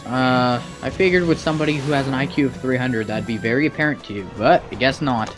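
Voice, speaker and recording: A man's voice: mid-range voice, fast talker, music in the background. A man giving comedic nitpicking commentary in a sarcastic, pedantic manner. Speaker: video commentator.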